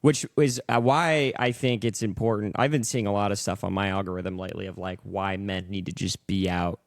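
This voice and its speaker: A man's voice: fairly low pitch, steady tone, fairly quick, dry recording, studio quality. A young adult man hosting a podcast, talking loosely and opinionatedly, with casual profanity. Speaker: podcast host. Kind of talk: casual chat.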